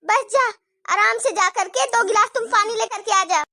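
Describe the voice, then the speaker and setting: A woman's voice: very high-pitched, moderate pace, sharp tone, full character voice. A woman voicing a stern, suspicious older mother-in-law who nags and scolds the household in a homemade family drama skit. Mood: suspicious, bossy. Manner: scolding, nagging and commanding.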